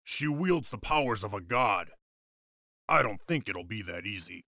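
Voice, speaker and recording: An actor playing a grumbling but loyal warrior in a fantasy game, with a gruff, gravelly, emphatic delivery. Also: male voice, on the low side, animated, measured pace, no room sound, clean studio sound, full character voice. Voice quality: gravelly, forceful. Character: gruff, grumbling, loyal male wolf clan fighter. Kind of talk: dramatic fantasy game voice acting.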